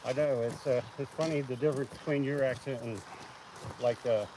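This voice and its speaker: A man's voice: medium pitch, fairly even intonation, brisk, dark tone, poor audio. A man with an Ohio accent, a Bigfoot researcher, chatting easily outdoors. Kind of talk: casual chat. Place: outdoors in rural woods. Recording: outdoor noise, off-mic.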